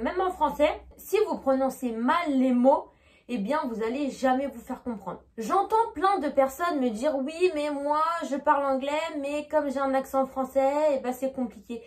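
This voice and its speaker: Young French-accented woman, high voice, chatty teacher talking to camera. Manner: chatty, keeps asking "d'accord?". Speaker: English teacher. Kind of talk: online lesson to camera.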